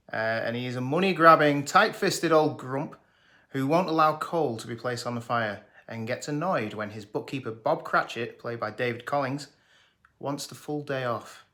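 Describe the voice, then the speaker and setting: A man in his thirties talks through a film review with a bright, forceful voice. He jokes, teases and gets openly enthusiastic, and the delivery sounds scripted. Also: mid-range voice, normal speed. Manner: jokey, sarcastic, enthusiastic. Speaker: movie reviewer.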